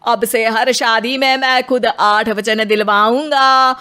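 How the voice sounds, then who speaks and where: A woman's voice: on the high side, fairly even intonation, slow, bright, forceful tone, smooth. A woman voicing a formal, proclaiming wedding priest in a cartoon story. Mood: ceremonious.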